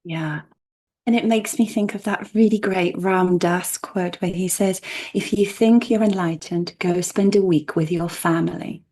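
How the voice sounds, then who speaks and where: A woman whose first language is Polish, speaking as a therapist guest in a thoughtful podcast conversation and laughing softly now and then as she explains. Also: lowish voice, measured pace, husky.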